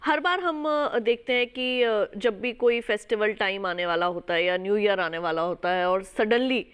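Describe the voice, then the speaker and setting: A woman's voice: mid-range voice, normal speed, fairly bright tone, smooth. A woman hosting a health phone-in show, putting callers' questions to doctors with polite short acknowledgements. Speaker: host.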